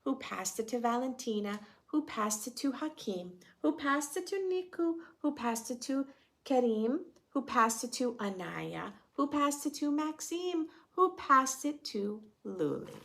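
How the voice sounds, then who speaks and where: A woman reads aloud for preschoolers in a gentle, expressive storytime style. Her pitch moves in lively swings while her pace stays slow and patient. A touch of creak runs through her voice as she speaks simply to young listeners. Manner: gentle, expressive, addressing young children with questions. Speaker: storytime host reading a picture book aloud to preschoolers. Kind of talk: children's read-aloud with simple explanations and questions.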